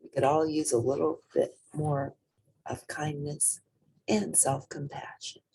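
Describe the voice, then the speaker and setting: A woman reading a children's picture book aloud in an animated, dramatic way, with a husky, breathy voice that drops into whispery tones and lingers on certain words. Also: deep voice, unhurried, slight background noise, low-quality recording. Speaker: storybook reader.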